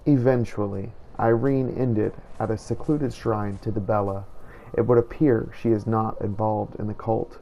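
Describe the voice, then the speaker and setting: Man playing a low, gravelly, solemn inquisitor. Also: steady tone, dark tone, highs cut off, natural voice. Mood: brooding, measured. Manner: formal, measured, archaic storybook phrasing. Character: solemn righteous male vampire-hunting inquisitor. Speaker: video game player narrating in character. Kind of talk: scripted first-person roleplay narration.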